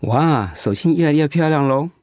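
An actor playing a kind, steady male oncologist in a radio drama, explaining things in a reassuring way. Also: on the high side, normal speed, no room sound, studio quality, natural voice. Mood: friendly, attentive. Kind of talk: Mandarin radio drama acting.

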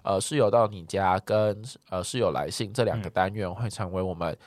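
A man's voice: sits low, brisk, dry recording, studio quality. A man with a Taiwanese accent chatting casually and sincerely as a podcast co-host. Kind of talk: casual chat.

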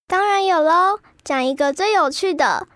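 A woman's voice: very high-pitched, normal speed, fairly bright tone, no echo, clean studio sound. A woman playing a chatty, cheerful schoolgirl in an educational radio drama for children. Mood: lively, sharing. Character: chatty cheerful Filipino-Taiwanese schoolgirl, proud of Philippine food.

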